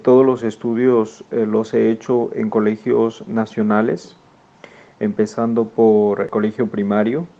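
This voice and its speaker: Gravelly low-voiced Peruvian man, halting. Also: level tone, warm tone, muffled recording. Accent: northern Peruvian Spanish. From Peru. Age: 40s. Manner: halting, repeats words and restarts, many "eh" fillers. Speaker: educator guest. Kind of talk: personal recollection.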